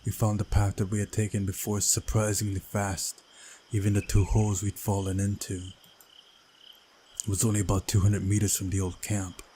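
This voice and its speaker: A man's voice: fairly low pitch, monotone, moderate pace, warm tone, a little hiss or hum. A man reading a visual novel aloud as narration, with casual side comments and an occasional stumble over the text.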